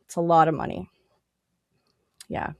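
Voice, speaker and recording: A woman's voice: low voice, unhurried, vocal fry, no room sound, studio quality. A woman guest in a casual podcast interview, explaining things emphatically and repeating her key points. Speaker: podcast guest, independent music artist.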